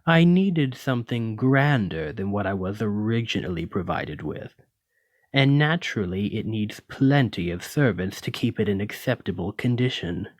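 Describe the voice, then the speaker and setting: A man's voice: on the low side, expressive, moderate pace, no room sound. A man playing a haughty, menacing lamia noble in an ASMR roleplay, speaking with condescending, theatrical, aristocratic deliberation. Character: haughty, menacing male lamia noble, wealthy retired merchant. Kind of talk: ASMR roleplay addressed to the listener.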